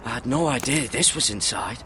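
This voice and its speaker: A man's voice: medium pitch, a little fast, noisy background, lightly acted. An actor playing an earnest, dutiful young squire turned watchman in a fantasy game, with a questioning manner. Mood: quiet wonder. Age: young adult. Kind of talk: dramatic fantasy game acting.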